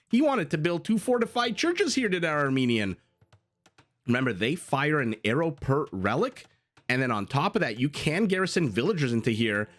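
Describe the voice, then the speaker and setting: A man with a raspy voice casting a strategy game match in an excitable, chatty way, full of asides and exclamations, into a desktop condenser mic. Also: mid-range voice. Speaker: game caster. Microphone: desktop USB condenser mic.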